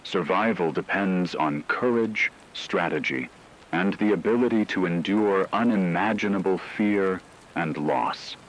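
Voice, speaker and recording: A man's voice: deep voice, measured pace, slight background noise, poor audio. A man playing a grave radio anchor who reads scripted war news in a solemn, portentous and formal way. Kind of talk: scripted dramatic news narration.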